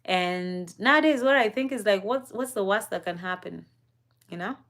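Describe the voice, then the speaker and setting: A young woman from East Africa telling a personal story on a live stream in a reflective, unhurried way, with frequent fillers and soft laughs. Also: mid-range voice.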